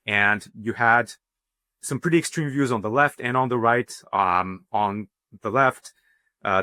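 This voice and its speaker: A man from Bulgaria, a guest professor, answering interview questions in a measured, explanatory way with frequent pauses and "um". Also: fairly low pitch, sharp tone, no room sound.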